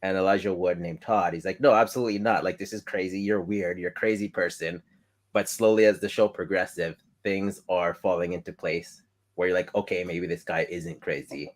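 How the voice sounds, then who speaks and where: A man's voice: low-pitched, steady tone, fairly quick. A man co-hosting a casual podcast, laid-back and easygoing. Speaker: podcast co-host. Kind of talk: casual chat.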